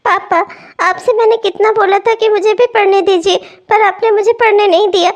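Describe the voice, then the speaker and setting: Woman acting a very high-voiced, earnest young bride. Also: fairly even intonation, normal speed. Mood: tearful reproach. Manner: plaintive, earnest, timid. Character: meek, dutiful, uneducated young bride. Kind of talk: melodramatic Hindi family story acting.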